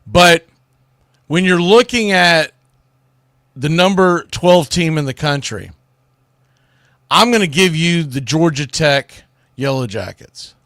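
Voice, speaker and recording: A man's voice: a little high, animated, slow, pauses often, a bit bright, dry recording, studio quality. A man hosting a solo sports talk show, giving commentary in an informal, opinionated way.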